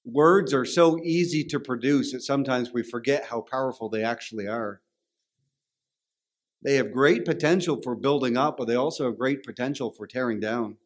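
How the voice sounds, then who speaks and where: A man's voice: mid-range voice, moderate pace. A man with a Canadian accent preaching a sermon in a conversational, storytelling way. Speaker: pastor.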